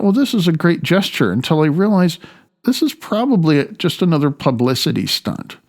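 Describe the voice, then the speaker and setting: A man from the United States reading his own book as an author, in a halting, opinionated way with loose spoken asides. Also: mid-range voice, darkish tone. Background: faint music.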